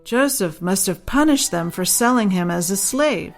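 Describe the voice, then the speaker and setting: A woman's voice: medium pitch, lively intonation, moderate pace, faint music behind, natural voice. A woman voicing a curious, earnest young girl with a wondering, simple manner in a children's storybook.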